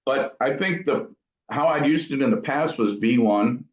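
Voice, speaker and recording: A man's voice: mid-range voice, a little slow. A man being interviewed as an amp builder, talking casually in thoughtful, rambling explanations. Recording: dull, echoey room sound.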